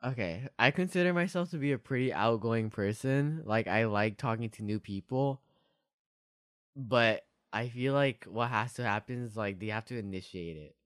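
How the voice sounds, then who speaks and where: A man's voice: mid-range voice, moderate pace, a bit bright, smooth, no room sound, clean studio sound. A young man co-hosting a podcast, talking casually in a rambling way peppered with filler words. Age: young adult. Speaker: podcast co-host. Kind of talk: casual chat.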